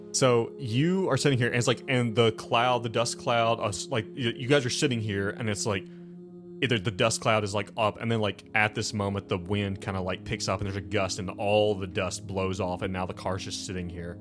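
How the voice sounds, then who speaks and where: Guy running a tabletop rpg, lowish voice, halting casual storytelling, music behind. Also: fairly even intonation. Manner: informal, halting, full of "like" and "you know". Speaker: game master. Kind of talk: improvised tabletop game narration.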